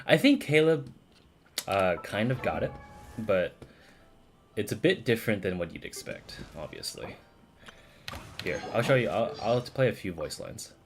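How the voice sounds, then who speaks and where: A man's voice: on the low side, very animated, unhurried, long pauses, slight background noise. A man streaming a game, giving casual, informal commentary and thinking aloud as he goes.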